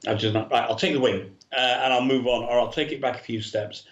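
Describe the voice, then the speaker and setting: A man's voice: medium pitch, fast talker. A man chatting as a guest over a remote call, talking earnestly and rambling with fillers. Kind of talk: casual chat. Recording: dull, roomy video call audio.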